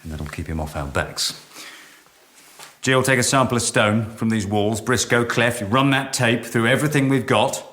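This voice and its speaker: A man's voice: medium pitch, normal speed, fairly bright tone, natural voice. A man acting in a radio drama as the driven, impatient, bossy head of a research team. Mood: brisk, commanding. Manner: bossy, brusque. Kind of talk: naturalistic radio drama dialogue.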